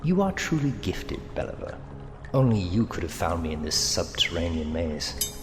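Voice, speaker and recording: A man's voice: low-pitched, big pitch swings, moderate pace. An actor playing a menacing, amorous male villain in an audio drama, speaking in a theatrical, taunting and seductive way. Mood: mocking. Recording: long cavernous reverb with music underneath. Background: dramatic music.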